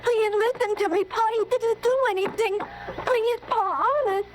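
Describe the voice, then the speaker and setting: A woman's voice: extremely high pitch, fairly even intonation, normal speed, noisy background. An actress playing a young daughter who pleads with her father through tears in a 1950s radio drama. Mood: tearful pleading. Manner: pleading, breathless. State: crying. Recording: old radio broadcast, crowd behind.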